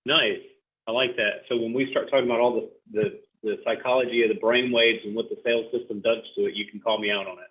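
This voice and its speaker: A man's voice: medium pitch, steady tone, fast. A man hosting a sales training class over a video call, upbeat and chatty as he calls on each participant. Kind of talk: casual group video call facilitation. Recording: tinny phone-like audio.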